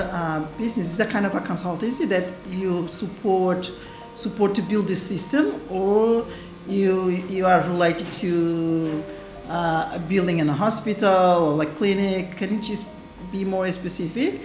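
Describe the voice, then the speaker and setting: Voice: medium pitch, slow pace. Rambling interviewer in an echoey room. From East Timor. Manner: long, winding questions with hesitations. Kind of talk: interview questions. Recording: roomy room with background music.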